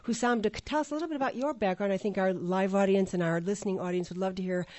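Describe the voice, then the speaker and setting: A woman's voice: medium pitch, racing, darkish tone. A woman hosting a live radio interview, enthusiastic and full of praise. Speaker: radio show host.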